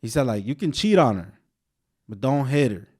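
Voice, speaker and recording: A young man co-hosting a casual podcast, slightly hoarse from a sore throat, rambling in a laid-back way. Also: mid-range voice, big pitch swings, moderate pace, a little mellow. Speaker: podcast co-host. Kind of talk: casual chat.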